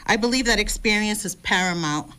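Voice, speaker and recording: A woman's voice: mid-range voice, brisk, sharp tone, clear, resonant tone. Composed woman in her fifties. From Lebanon. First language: Lebanese Arabic. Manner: composed, reads a prepared text. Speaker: city councillor and candidate. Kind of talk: prepared debate opening statement.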